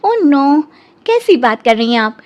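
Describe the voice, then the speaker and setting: A woman's voice: high-pitched, big pitch swings, a little slow, noisy background, voice pushed up. A woman voicing a proud, self-assured young daughter-in-law in a cartoon story. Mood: affronted.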